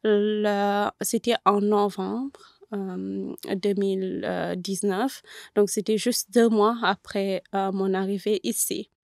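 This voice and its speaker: Woman in her thirties, careful and halting, dry close sound. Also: mid-range voice, little pitch movement, creaky, dry recording. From Senegal. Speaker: guest, former student. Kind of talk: conversational interview.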